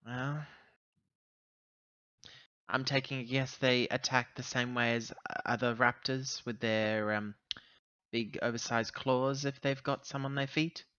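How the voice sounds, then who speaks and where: A man playing a tabletop roleplaying game, chatting casually and pausing as he reasons aloud. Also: medium pitch, fairly even intonation, bright, forceful tone, clean tone, dull audio.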